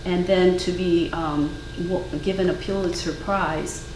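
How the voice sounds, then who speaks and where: An older woman, a guest artist whose first language was Ukrainian, talking in a thoughtful, measured way with many fillers. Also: on the low side, steady tone. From Cleveland, Ohio, US. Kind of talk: casual interview conversation. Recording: echoey room from far off, faint noise.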